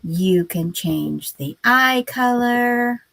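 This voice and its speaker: A woman hosting a casual writing livestream, her voice scratchy from a cold, talking in a slow, halting way with many pauses. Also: on the high side, animated, gravelly, a bit bright, no echo.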